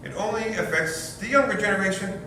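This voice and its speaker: An echoey, distant stage recording of a man acting a fawning young nephew. His high, bright voice stammers and blurts in an ingratiating, comic way. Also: a little fast. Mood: cornered, blustering. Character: flustered, fawning young nephew caught fibbing to his uncle. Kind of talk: staged readers theater comedy. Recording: echoey hall, far-off mic, faint noise.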